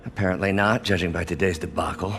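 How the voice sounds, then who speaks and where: An actor playing a weary, embittered middle-aged scientist in a naturalistic video game cutscene. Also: male voice, deep voice, fairly even intonation, fast talker, a bit bright, slight background noise. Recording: music behind the voice.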